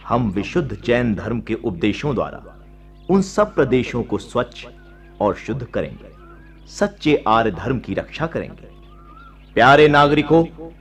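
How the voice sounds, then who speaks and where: An actor playing a solemn, fearless, righteous monk who preaches in formal, declamatory radio-drama style. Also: male voice, mid-range voice. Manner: declamatory, preaching, measured moral authority. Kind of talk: Hindi radio drama acting, formal oratory. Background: faint music.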